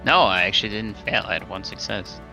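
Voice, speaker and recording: Sharp, forceful low-voiced man gaming. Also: a little fast, low-quality recording. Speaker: tabletop game player. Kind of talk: casual game-table chat. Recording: faint music under the voice.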